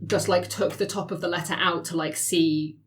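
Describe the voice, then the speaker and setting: A woman with a moderately low voice chats casually among fellow players on a roleplay podcast. She sounds agreeable and easily amused, talking informally in the flow of a group conversation. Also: fairly even intonation, moderate pace, slight background noise.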